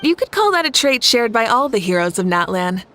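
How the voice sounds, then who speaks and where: A woman's voice: on the high side, sing-song, fairly quick, a bit bright, soft background music. A woman voicing a composed, confident young female ruler in a video game, assured and good-humored. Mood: assured, earnest.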